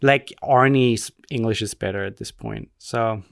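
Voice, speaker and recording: A man's voice: mid-range voice, unhurried, vocal fry, no room sound. A man from Austria co-hosting a podcast, chatting casually in a rambling, self-mocking way. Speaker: podcast co-host. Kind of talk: casual chat.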